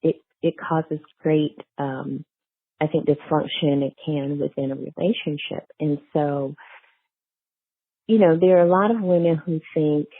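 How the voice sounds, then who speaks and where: A woman interviewed as an author, talking casually and thoughtfully with halting phrases and emphatic key words, on narrow muffled audio. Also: low voice, steady tone, slightly rough, dry recording. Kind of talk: casual conversational interview, explaining characters.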